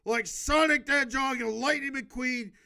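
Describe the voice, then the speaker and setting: A man's voice: very high voice, moderate pace, gravelly, bright, forceful tone. A man reading a book aloud for a homemade video, stumbling and correcting himself, adding emphatic, casual exhortations of his own. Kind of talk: halting read-aloud with improvised casual commentary.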